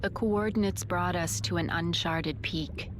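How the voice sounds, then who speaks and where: A woman's voice: fairly low pitch, fairly even intonation, measured pace, noisy background, close to an everyday voice. A woman voicing a whispering doom-laden narrator. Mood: ominous. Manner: hushed, half-whispered, solemn. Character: grim woman narrator, survivor of a doomed deep-sea expedition. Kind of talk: scripted game narration. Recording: game audio under loud brooding music.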